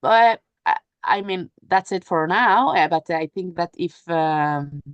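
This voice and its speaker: A woman whose native language is Arabic, speaking unscripted as a panelist, haltingly searching for words and repeating phrases. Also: lowish voice, clean tone, no echo, muffled recording. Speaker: panel speaker, humanitarian sea rescue worker. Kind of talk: unscripted panel talk about work experience.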